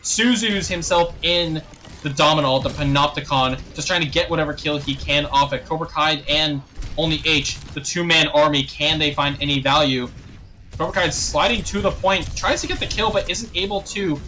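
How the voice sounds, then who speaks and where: A young man casting a live esports match play-by-play, slightly hoarse and thirsty, clearing his throat now and then. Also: fairly high pitch, a little hiss or hum. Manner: rapid play-by-play, rising excitement on big plays, frequent throat clearing. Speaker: esports caster.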